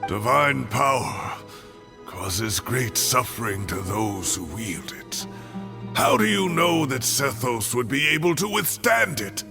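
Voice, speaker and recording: A man's voice: mid-range voice, animated, slow pace, music in the background. An actor playing an aging male professor in a video game, earnest and pleading as he defends someone he raised. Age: older. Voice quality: gravelly. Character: aging male scholar, protective and defiant.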